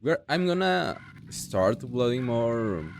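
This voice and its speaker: A young man from Mexico with a Mexican Spanish accent, speaking halting non-native English as he walks through a software tutorial step by step. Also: medium pitch, very animated, vocal fry, noisy background. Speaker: tutorial creator. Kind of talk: screen-recorded software tutorial.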